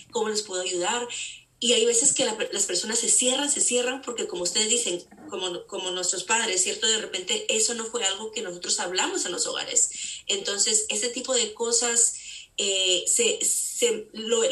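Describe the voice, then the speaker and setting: A woman's voice: mid-range voice, bright, forceful tone. A woman therapist, a guest on a casual interview podcast, explaining earnestly and often restarting her sentences while she searches for words. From California. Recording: echoey room, distant mic.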